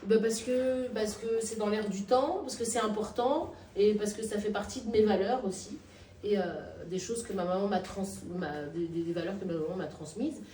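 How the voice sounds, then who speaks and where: A woman being interviewed, with frequent restarts and hesitations that give way to emphatic, lively stretches. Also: medium pitch, moderate pace, a little hiss or hum. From Nigeria and Madagascar. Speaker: actress and workshop founder, interviewee. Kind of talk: spontaneous interview talk. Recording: echoey room, heard from a distance.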